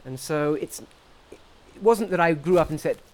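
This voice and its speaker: A man giving reflective interview answers as a scientist, speaking in articulate, measured academic phrases. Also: fairly high pitch. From grew up in Portugal, England and the US. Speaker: interviewee, scientist at a research institute.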